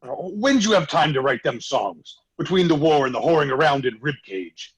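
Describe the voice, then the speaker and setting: A man's voice: on the high side, expressive, normal speed, husky, low-quality recording. A man playing a mocking, sceptical outlaw rider with a folksy drawl in a western table read.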